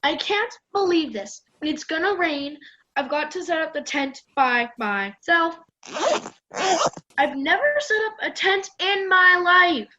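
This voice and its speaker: A woman's voice: extremely high pitch, expressive, slow pace, bright, forceful tone, lo-fi sound, slight background noise, full character voice. A young woman acting a whiny, self-pitying teenage girl on a camping trip in an amateur radio play. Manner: complaining, dramatic. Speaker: student radio play performer.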